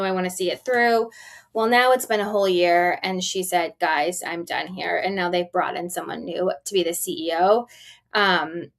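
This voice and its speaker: A woman in her forties with a middle-pitched voice chats as a podcast co-host. She tells business news like a casual story, tossing in rhetorical questions and emphatic asides. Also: fairly bright tone. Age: 40s.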